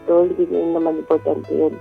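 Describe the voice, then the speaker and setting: A woman's voice: low-pitched, level tone, a little fast, gravelly, slightly rough, narrow, tinny audio, faint music behind. A Filipino woman calling in to a radio show, confiding casually in a polite, hesitant manner. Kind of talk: casual personal confession.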